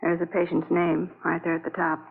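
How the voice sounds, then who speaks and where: A woman's voice: fairly low pitch, completely flat delivery, brisk, gravelly. An actress in a vintage radio drama playing a capable policewoman with a wry, matter-of-fact manner. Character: dry, capable policewoman reporting a find. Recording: tinny old radio recording, faint noise.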